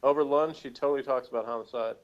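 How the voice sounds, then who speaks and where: A man's voice: mid-range voice, fairly even intonation, quick pace, dry recording, highs cut off. A man co-hosting a review show over an online call, bantering in a wry, sarcastic way. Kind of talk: casual joking banter.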